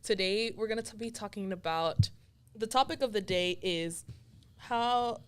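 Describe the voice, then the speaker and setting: A woman's voice: medium pitch, measured pace, clear, resonant tone. A woman hosting a podcast, interviewing a guest in a casual, conversational way. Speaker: podcast host.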